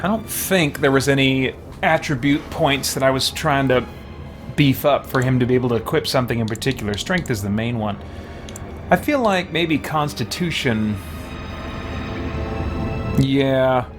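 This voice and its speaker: A man's voice: medium pitch, moderate pace, music in the background. A man doing casual live-stream gameplay commentary, thinking aloud in an easygoing, chatty way. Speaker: game streamer, host.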